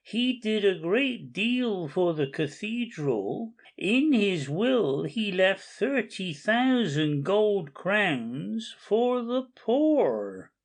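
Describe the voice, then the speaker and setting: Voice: medium pitch, big pitch swings, heavily acted. An audiobook reader playing a pedantic, tireless male cathedral guide, declaiming facts in a dramatic reading. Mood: solemn, showy. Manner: slow, declamatory recital of dates and facts.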